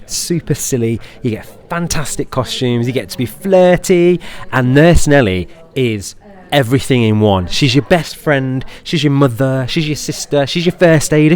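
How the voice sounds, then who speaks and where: Camp, effusive man with animated pitch. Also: moderate pace. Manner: camp, effusive, calls people darling and babes. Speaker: pantomime actor being interviewed. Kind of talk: playful, chatty interview.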